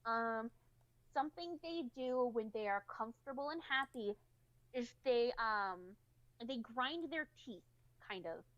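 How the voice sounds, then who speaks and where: A woman's voice: fairly high pitch, expressive, a little slow, a bit bright. A woman telling personal stories in a casual, candid chat with informal wording. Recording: slightly dull, lo-fi audio.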